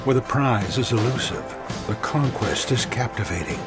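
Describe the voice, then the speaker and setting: A man giving a dramatic, measured ad voice-over. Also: medium pitch, animated. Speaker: ad narrator. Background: loud music.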